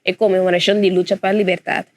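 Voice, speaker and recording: A woman's voice: fairly low pitch, level tone, fast. A woman from a cultural association, a radio guest, explaining an upcoming commemoration steadily. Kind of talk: casual interview explanation.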